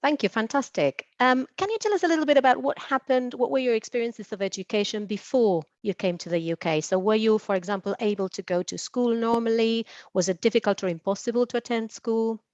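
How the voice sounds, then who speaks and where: A woman's voice: on the high side, fairly quick, hardly any pauses, no echo. A woman hosting a webinar, thanking a speaker and asking an interview guest questions with many restarts. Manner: warm, hesitant, many fillers and restarts. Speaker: webinar host. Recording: dry, dull lo-fi webinar audio.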